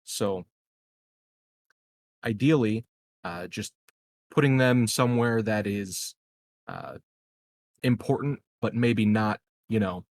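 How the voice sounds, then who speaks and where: Low-voiced man, hesitant and measured. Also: dry recording. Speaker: tabletop game player. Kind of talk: casual in-character improvised dialogue.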